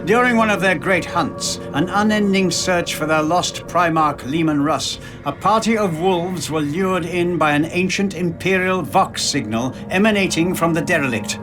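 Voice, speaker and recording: A man playing a grave, knowing storyteller, giving scripted dramatic narration for a war game in a measured, portentous manner. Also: sits high, over music, lightly acted.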